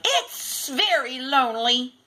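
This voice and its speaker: A lonely castaway man from a storybook, overjoyed to have company, as a woman reader plays him. He exclaims eagerly in a high voice with big swings and drawn-out words. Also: big pitch swings, pushed and bright, soft background music, heavily acted, low-quality recording.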